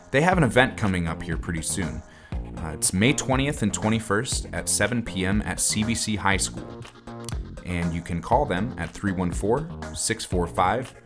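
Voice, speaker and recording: A man hosting a podcast, reading closing credits and thanks over music. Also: deep voice. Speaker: podcast host and writer. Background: guitar music.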